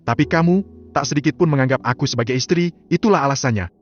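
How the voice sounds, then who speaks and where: A man voicing a scornful businesswoman wife who is fed up with her husband, asking sharp, accusing questions. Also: mid-range voice, fast, voice pushed up, lightly acted. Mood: bitter. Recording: slightly muffled, soft music behind.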